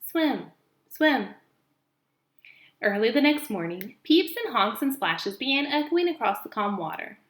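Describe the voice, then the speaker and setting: A woman reading a children's book aloud as an expressive storyteller, recorded in an echoey room. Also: a little high, normal speed, few pauses.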